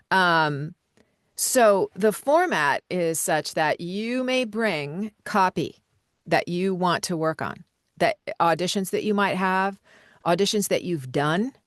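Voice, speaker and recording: A woman with a notably low voice hosts a live video-call Q&A as a voiceover coach. She talks in a relaxed, chatty way, full of little fillers and soft laughs. The sound is dry and close, with no room echo. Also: smooth. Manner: conversational and relaxed, with frequent fillers and light laughs.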